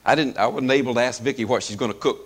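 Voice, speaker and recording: A middle-aged man preaching in a folksy, chuckling manner, hoarse as he loses his voice, with plenty of fillers. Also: medium pitch, rapid-fire. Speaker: pastor. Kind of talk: sermon.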